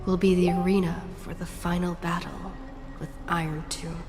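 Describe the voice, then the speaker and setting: A woman playing a solemn, determined but wary young game heroine in a hushed, pensive way. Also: lowish voice, little pitch movement, moderate pace, over music, close to an everyday voice. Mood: resolute. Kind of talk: scripted game voice acting.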